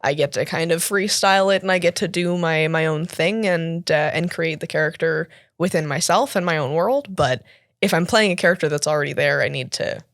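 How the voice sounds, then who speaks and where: A Canadian woman in her twenties, an actor and cosplayer, chatting as an interview guest with a deeper voice than expected, laughing often and full of fillers. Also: fairly quick, no room sound, clean studio sound. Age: 20s. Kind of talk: casual interview chat.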